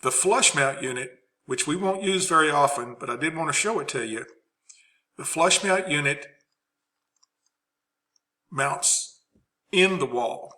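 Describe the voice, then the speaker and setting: A man's voice: on the high side, animated, measured pace, pauses often, sharp tone. A man giving an unscripted instructional presentation as a trainer, explaining things plainly.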